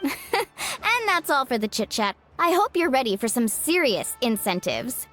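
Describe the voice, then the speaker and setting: A pushy, eager recruiter. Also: female voice, high voice, sing-song, a bit bright, faint music behind, heavily acted. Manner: eager, persuasive, quick to interrupt. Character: pushy, energetic young woman recruiting for her tribe. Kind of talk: video game voice acting.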